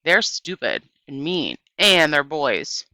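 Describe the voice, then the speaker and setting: A feisty young storybook girl, read by a woman, stubborn and indignant. Her voice is bright and forceful for all its low pitch, recorded dry. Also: big pitch swings, slow pace, dry recording, full character voice. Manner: indignant, defiant.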